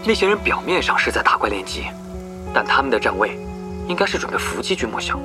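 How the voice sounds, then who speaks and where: A male actor playing an excitable, easily exasperated young gamer in a Chinese radio drama, given to animated exclamations. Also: medium pitch, quick pace, natural voice. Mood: puzzled, reporting. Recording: background music under the voice.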